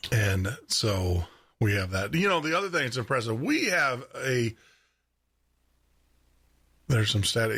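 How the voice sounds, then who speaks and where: Gravelly-voiced man hosting a radio show. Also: fairly bright tone. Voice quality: deep baritone. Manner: chatty, laughs often, repeats words. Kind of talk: casual live radio chat. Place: home radio studio. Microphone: studio microphone with headphones.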